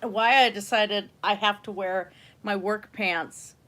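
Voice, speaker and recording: A young woman speaks slowly into a desk mic at home, her voice stuffy from a slight cold. She rambles in a loose, chatty way as the host of her own livestream. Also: mid-range voice, steady tone, pushed and bright. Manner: rambling, chatty, laughs often. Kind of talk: casual livestream chat.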